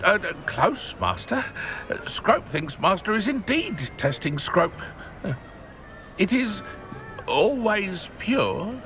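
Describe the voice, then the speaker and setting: An actor playing an anxious, servile male house elf in a video game, gravelly and hesitant. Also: high voice, very animated, takes it slowly, fairly bright tone, exaggerated voice. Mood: apologetic, cautious. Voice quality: creaky, gravelly. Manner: hesitant, speaks of himself in third person. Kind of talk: video game character acting.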